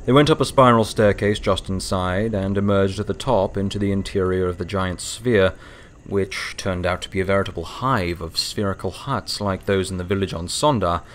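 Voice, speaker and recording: A man with a fairly low voice narrates a written story. The delivery is scripted storytelling, with some noise behind it.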